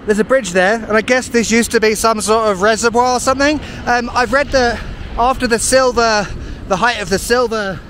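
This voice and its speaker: A man in his thirties, a travel vlogger talking to a handheld camera outdoors on town streets, chatty and excitable with playful asides. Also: very high-pitched, normal speed, slight background noise. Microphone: handheld camera at arm's length.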